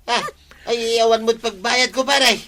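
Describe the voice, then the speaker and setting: A man's voice: extremely high pitch, fairly even intonation, unhurried, very noisy. A man with an Ilocano accent playing a whiny, pleading petty thief in a comedy radio drama. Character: pleading comic male villager caught stealing.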